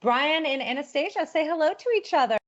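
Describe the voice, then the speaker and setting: A woman's voice: high voice, expressive, muffled recording. A woman hosting a live dating game show from home over a video call, teasing her guests playfully. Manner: playful teasing, quick laughs. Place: home at her parents' house.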